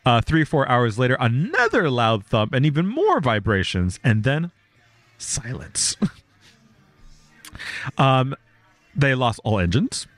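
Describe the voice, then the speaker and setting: Lively, laughing male podcast host. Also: medium pitch, slow, pauses often, no room sound, soft background music. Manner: laughs often, swears freely, animated storytelling. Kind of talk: casual chat, reading out stories.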